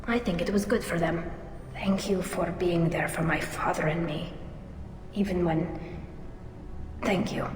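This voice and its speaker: A woman playing a young female alien in a video game, earnest and gently wry. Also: fairly low pitch, steady tone, a little slow, long pauses, noisy background, natural voice. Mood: grateful, relieved. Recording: echoey hall reverb, music behind.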